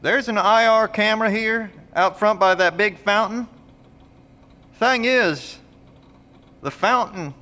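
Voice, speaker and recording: A man's voice: sits high, slow pace, long pauses, bright, forceful tone, long reverb tail, full character voice. A man playing a plainspoken, practical, good-natured country fellow in an audio drama, with a folksy, informal manner. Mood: uneasy.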